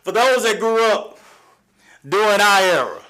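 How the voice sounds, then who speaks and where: A man in his forties with a Southern accent, hosting a live show and telling stories from his childhood in a halting, folksy way, with many fillers and restarts. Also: high voice, big pitch swings, pushed and bright. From East Texas, USA. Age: 40s. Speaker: livestream host. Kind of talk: personal storytelling on a live show.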